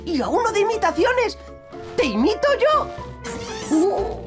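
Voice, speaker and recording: A needy, playful little digger with a face, straight out of a children's cartoon, played by a woman. The Castilian Spanish voice is high and swoops wildly in an unhurried, exaggerated way over clear music. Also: exaggerated voice. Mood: eager, playful. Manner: exaggerated, expressive.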